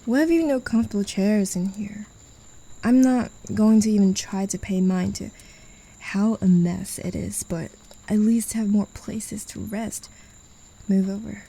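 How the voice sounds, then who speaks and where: Woman playing a possessive demon, ASMR roleplay, gravelly, dark, husky, teasing whispers, condescending. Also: medium pitch, measured pace, low-quality recording. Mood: domineering. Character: possessive, mocking female demon claiming a human. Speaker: voice actor.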